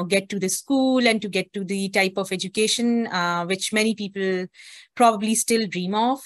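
A woman's voice: mid-range voice, fairly bright tone, dry recording. Woman telling her story. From Pakistan. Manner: earnest, with many fillers. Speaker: guest. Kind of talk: interview, personal story.

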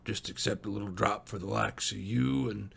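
A man voicing a gaunt, dying frontier man, weary and gentle, with low, creaky, halting, folksy speech in a storybook. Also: fairly even intonation, a little hiss or hum. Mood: halting, resigned.

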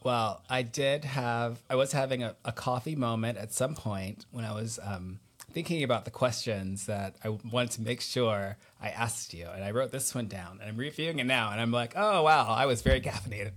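A man's voice: medium pitch, moderate pace, a bit bright. Playful man hosting a casual podcast. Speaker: podcast host. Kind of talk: casual interview chat.

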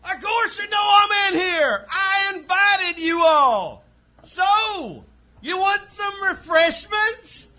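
A man playing a brash, sneering outlaw villain who shouts taunts in a comic western audio drama. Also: extremely high pitch, lively intonation, slow pace, full character voice. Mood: taunting.

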